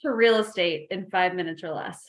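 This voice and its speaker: A woman's voice: lowish voice, moderate pace, a little hiss or hum. A woman hosting an interview, enthusiastic and gushing in a casual way. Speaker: interview host.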